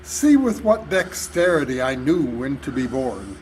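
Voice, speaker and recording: An older man giving a solemn, deliberate, declamatory reading of poetry at a live club performance. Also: mid-range voice, sing-song, a little mellow. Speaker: reader at a club reader's theater. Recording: noisy live hall recording.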